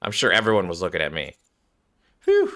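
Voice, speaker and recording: A man's voice: sits low, huge pitch swings, brisk, pushed and bright. A man doing casual game commentary, reading dialogue aloud and stumbling over written words as he reacts. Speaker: game streamer. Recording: background game music. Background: game music.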